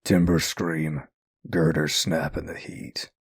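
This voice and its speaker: A man voicing a grim masked vigilante detective in a comic dub, husky and terse, like a stern inner monologue. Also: level tone, slow pace, dry recording, studio quality, exaggerated voice. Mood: brooding. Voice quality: husky, low. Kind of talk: comic dub dramatic narration.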